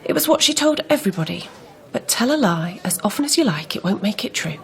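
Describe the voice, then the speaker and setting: A woman's voice: mid-range voice, sing-song, quick pace, creaky. An older woman acting a forthright, disapproving aunt with a grievance in a naturalistic radio drama. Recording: murmur of a crowd behind.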